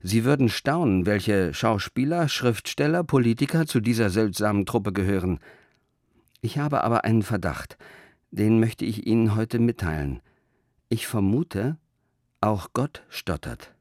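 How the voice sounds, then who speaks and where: Guy doing a radio sermon, lowish voice, thoughtful n measured, really dry close sound. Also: animated, darkish tone, no echo. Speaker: preacher hosting a religious radio talk. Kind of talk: scripted sermon narration.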